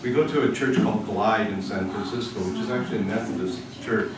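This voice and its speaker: A man with a booming voice, a church board member, speaking hesitantly and often repeating his words. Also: a little fast, a little hiss or hum. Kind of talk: casual reflection to a congregation. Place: church service. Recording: echoey hall from far off.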